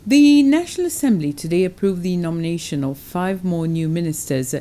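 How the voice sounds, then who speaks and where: A woman with a Seychellois accent reading the evening news in a steady, formal way. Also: on the low side, brisk. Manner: steady formal delivery with a few fillers. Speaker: news anchor. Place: TV studio.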